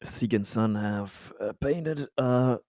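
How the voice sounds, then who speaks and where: A man with a medium-pitched voice joins a casual tabletop game discussion. The recording is dry and close, with no room sound. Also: slow, warm tone, dry recording. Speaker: player in a tabletop roleplaying game.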